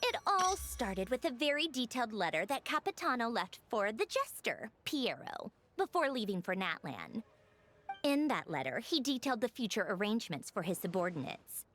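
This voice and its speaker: A woman's voice: fairly high pitch, sing-song, moderate pace, fairly bright tone. A woman playing a poised, self-assured, teasing character in a video game, with a playful, knowing way of talking. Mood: knowing, explanatory. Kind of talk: video game dialogue acting.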